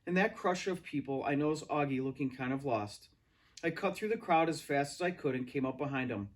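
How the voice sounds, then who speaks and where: A man narrates a story as a read-aloud reader. His voice sits a little high for a man, and his delivery is expressive. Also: fairly quick.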